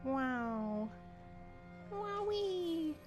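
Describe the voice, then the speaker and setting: A woman's voice: high-pitched, very animated, extremely slow, over music. A woman chatting casually on a gaming livestream, thinking aloud in an informal, musing way. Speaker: livestream host.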